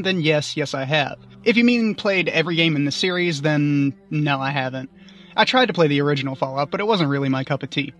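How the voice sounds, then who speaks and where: A man's voice: a little high, fairly bright tone. A man giving scripted review commentary as a guest.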